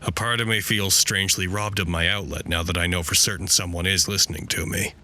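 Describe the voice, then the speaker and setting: A man's voice: low-pitched, steady tone, fairly quick, bright, forceful tone. An actor playing a betrayed, bitter male researcher venting formally and articulately into a personal log in an audio drama. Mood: guarded, wounded.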